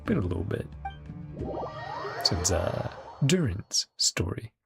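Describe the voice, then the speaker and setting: A woman's voice: a bass voice, big pitch swings, slow, vocal fry. A woman giving casual reaction commentary over a video game, musing aloud and trailing off mid-thought, speaking into a close mic. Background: game music.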